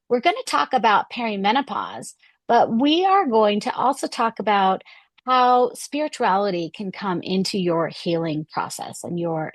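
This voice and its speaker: A woman in her fifties hosting a podcast interview, her voice hoarse, chatty and upbeat with occasional fillers. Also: mid-range voice, no echo. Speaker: podcast host.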